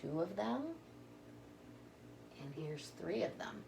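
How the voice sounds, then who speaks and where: A woman's voice: low voice, lively intonation, slow, vocal fry, low-quality recording. A woman storyteller reading a children's story aloud with simple, emphatic phrasing and informal asides to young listeners.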